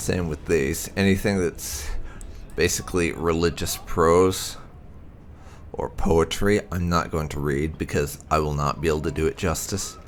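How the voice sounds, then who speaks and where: A man hosting a gaming video, reading lore entries aloud in a theatrical, expressive way with occasional asides. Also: a bass voice, a little slow, a little hiss or hum.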